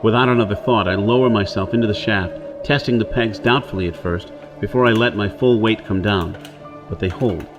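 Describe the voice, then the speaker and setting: A man's voice: on the low side, a little fast, highs cut off, over music, natural voice. An actor playing a rugged, wary Earth-born outlaw on Mars in an audio drama, intense and theatrical as he talks himself through things. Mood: tense narrating. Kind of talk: audio drama acting with first-person narration.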